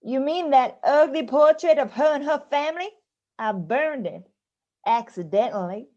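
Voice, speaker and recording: A woman's voice: sits high, normal speed, a little mellow, natural voice. A woman playing a sour, sarcastic ghost mother-in-law in an amateur radio play. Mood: scornful. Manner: dry, grudging, sarcastic. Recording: slightly dull, lo-fi audio.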